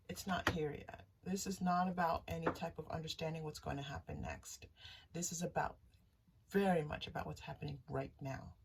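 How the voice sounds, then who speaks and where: A woman hosting a casual tarot reading, speaking to listeners directly in a soothing, reassuring way and haltingly thinking aloud. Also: lowish voice, vocal fry. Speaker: tarot reader and channel host. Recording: distant mic, faint background noise.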